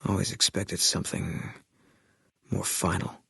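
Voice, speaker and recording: An actor playing a terse, stoic ex-military sniper in a video game, grim and fatalistic, with a low husky voice. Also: male voice, level tone, unhurried, bright, forceful tone, no echo, studio quality, heavily acted. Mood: stoic, weary. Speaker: video game companion character.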